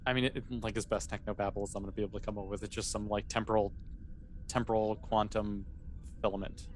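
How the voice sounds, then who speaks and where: A man's voice: fairly low pitch, little pitch movement, fast talker, a little hiss or hum. A man acting as game master, narrating a tabletop sci-fi roleplaying game in a casual, conversational, explanatory way.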